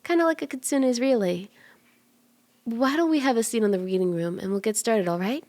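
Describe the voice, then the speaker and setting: Soft, dry, close-miked kitsune mystic played by a woman. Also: fairly high pitch, dry recording, lightly acted. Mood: welcoming, playful. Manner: gentle, playful, unhurried, slips into whispers. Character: cute, friendly kitsune fox-woman mystic and tarot reader. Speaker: ASMR roleplay voice actor. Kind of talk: ASMR roleplay, soft-spoken and often whispered. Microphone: close ASMR microphone in a dry space.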